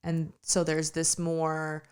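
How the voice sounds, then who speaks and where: A woman's voice: sits low, completely flat delivery, slow pace, a little mellow, smooth. A woman co-hosting a podcast, chatting in an earnest, reflective way with frequent fillers. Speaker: podcast co-host. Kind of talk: casual chat.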